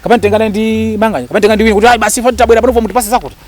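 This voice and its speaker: A man's voice: sits high, fairly even intonation, rapid-fire. A Malawian man speaking emphatically and argumentatively as an interview guest, repeating phrases for stress and mixing two languages. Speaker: interview guest, actor and lecturer. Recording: faint background noise.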